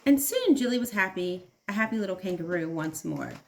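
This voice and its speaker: A woman preschool teacher giving an expressive read-aloud for young children, adding simple explanations and questions, with emphasis on key words. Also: medium pitch, brisk, a little hiss or hum.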